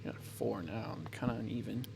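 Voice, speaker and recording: A man's voice: on the low side, moderate pace, creaky, noisy background. A man giving casual gameplay commentary, thinking aloud as he goes, often restarting and correcting himself. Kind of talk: casual let's-play commentary.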